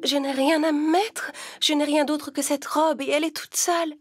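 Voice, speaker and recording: A sweet young fairy-tale heroine, put on by a woman storyteller, with a voice lifted well above a normal speaking pitch. She is soft, polite and earnest, a meek and wronged girl, over a musical backdrop. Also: steady tone, moderate pace, music in the background, close to an everyday voice. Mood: forlorn.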